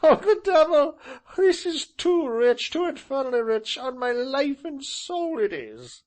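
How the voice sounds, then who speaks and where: A man voicing a tall, jovial gentleman who gushes and laughs at his own joke in a high voice. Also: very animated, measured pace, exaggerated voice. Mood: gleeful. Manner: mocking, laughing, gushing.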